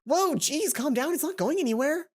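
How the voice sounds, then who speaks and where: A woman voicing a bright, teasing schoolgirl best friend and roommate in a visual novel. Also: high voice, expressive, normal speed, noisy background, pitched above the performer's own voice. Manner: teasing, raised voice.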